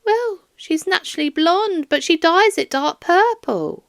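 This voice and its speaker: A woman's voice: very high-pitched, animated, measured pace, gravelly, dry recording, studio quality, pitched above the performer's own voice, full character voice. A woman voicing a flustered, doting older woman who works at a museum, in a rambling, plaintive and baffled manner, for an audiobook. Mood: puzzled, fond. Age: 60s.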